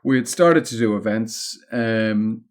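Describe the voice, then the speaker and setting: A man with an Irish accent and a fairly low-pitched voice talks in a casual interview style. He is discursive, building his points aloud as an experienced guest would. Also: takes it slowly. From Ireland. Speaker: podcast guest, content marketing consultant.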